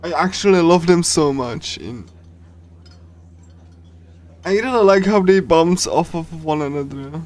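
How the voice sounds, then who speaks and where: A man streaming a game, chatting casually and reading along in a rambling, halting way with repeated phrases. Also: a little high, animated, darkish tone. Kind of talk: casual livestream chat while reading and translating a game.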